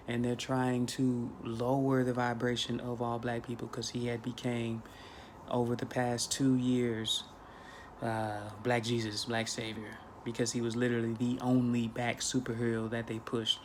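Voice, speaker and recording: A man's voice: medium pitch, monotone, moderate pace, a little hiss or hum. A man in his thirties talking casually into a phone camera in a small bedroom, preachy and emphatic, repeating himself for effect. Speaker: spiritual vlogger. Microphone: phone camera at arm's length.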